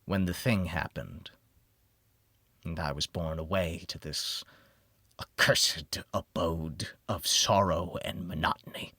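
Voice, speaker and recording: A man narrating a gothic horror audiobook in a theatrical manner that moves between hushed near-whispers and heightened exclamation. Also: low-pitched, steady tone, takes it slowly, breathy edge, dry recording. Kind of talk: dramatic scripted horror narration.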